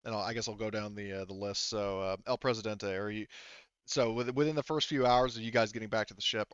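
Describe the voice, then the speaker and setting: A man narrating a tabletop role-playing game as game master, improvising in a halting, rambling way full of asides. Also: fairly low pitch, a bit bright, clean tone, no echo, dull audio.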